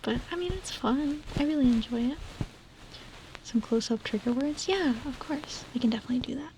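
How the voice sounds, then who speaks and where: A young woman whispering softly and nervously into a close microphone, reading chat and repeating calming trigger words. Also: high-pitched, a little fast, a little hiss or hum, poor audio. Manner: soft whisper, nervous and giggly. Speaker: ASMR streamer. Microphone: close ASMR microphone.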